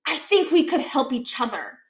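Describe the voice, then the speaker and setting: A woman's voice: high voice, very animated, moderate pace, voice pushed up. A woman voicing an earnest, self-assured schoolboy prankster who lectures in a storybook read-aloud. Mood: placating. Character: earnest, know-it-all schoolboy master prankster.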